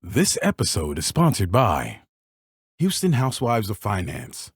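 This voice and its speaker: Lively male ad announcer. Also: lowish voice, unhurried, dry recording. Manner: smooth promotional delivery. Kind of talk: scripted ad read.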